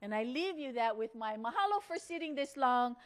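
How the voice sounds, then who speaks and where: A middle-aged or older woman, giving a motivational storytelling speech in an earnest, deliberate way with dramatic pauses. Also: a little high, lively intonation. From Hawaii. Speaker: speaker at a women's conference.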